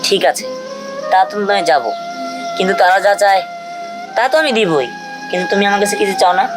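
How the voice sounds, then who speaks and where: Woman doing trusting young husband in a cartoon, earnest, pitch moves around a lot, loud music behind. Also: lively intonation, fast, natural voice. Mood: resigned. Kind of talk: cartoon drama acting.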